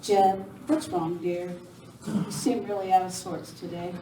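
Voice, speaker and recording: An amateur actress playing a concerned, doting rancher's wife in a live radio western. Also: female voice, on the low side, steady tone, measured pace, warm tone, natural voice. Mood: concerned, tender. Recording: echoey, distant, faint noise.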